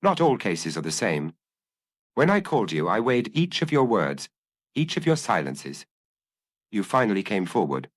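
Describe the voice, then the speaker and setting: A man voicing a patient, humane police detective who questions calmly and courteously in a dramatic audiobook reading. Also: fairly low pitch, moderate pace, dry recording. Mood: gentle.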